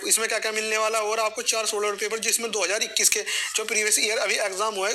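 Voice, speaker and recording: A man promoting a study book to viewers with a pushy, repetitive sales pitch. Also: sharp tone, slight background noise.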